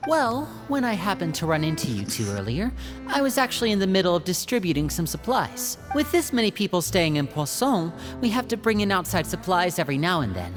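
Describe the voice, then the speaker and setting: A courteous young magician. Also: female voice, fairly low pitch, very animated, fairly quick. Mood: friendly. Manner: warm, courteous, eager to host. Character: polite, charming young stage magician son. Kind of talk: video game voice acting. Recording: game audio with faint music.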